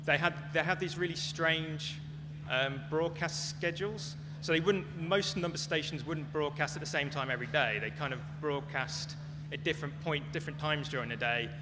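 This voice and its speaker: Man explaining informally in an echoey, humming hall. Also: on the high side. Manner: tentative, hedged explaining. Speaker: conference speaker answering audience questions. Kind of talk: informal Q&A talk. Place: large echoey venue at a live talk. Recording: echoey hall, clear background noise, hum.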